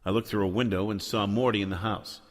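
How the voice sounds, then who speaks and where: An actor playing a sardonic, deadpan noir detective who both narrates and talks in a radio drama. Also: male voice, on the low side, fast talker, natural voice. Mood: brooding narration. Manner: sardonic, deadpan, world-weary. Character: cynical wisecracking hardboiled male noir detective, Joe Smoke.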